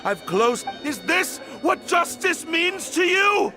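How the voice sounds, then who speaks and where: A man's voice: very high voice, very animated, over music, full character voice. A man voicing an anguished, betrayed condemned man in a video game, dramatic and bitterly pleading. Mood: anguished, outraged. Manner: dramatic, rising from slow pleading to shouting.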